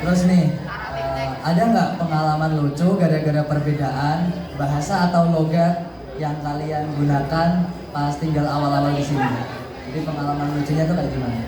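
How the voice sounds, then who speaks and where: A teenage girl with a lowish voice co-hosts a school stage talk, asking questions and signing off brightly from a script. Her voice rings through a very echoey hall with a crowd behind her. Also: steady tone, fairly quick, distant mic. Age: teens. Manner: upbeat scripted sign-off. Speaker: student co-host.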